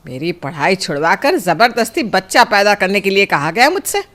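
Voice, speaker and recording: A woman's voice: medium pitch, sing-song, normal speed, fairly bright tone. A woman voicing an aggrieved, complaining middle-aged wife and mother in a cartoon family drama. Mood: bitter complaining.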